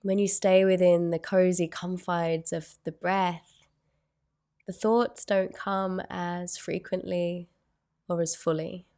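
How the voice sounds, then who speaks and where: A woman guides a meditation very slowly, with long pauses between gentle phrases. Her voice sits on the low side and stays in a narrow, even range, with noticeable fry at the edges. The delivery is soothing and unhurried.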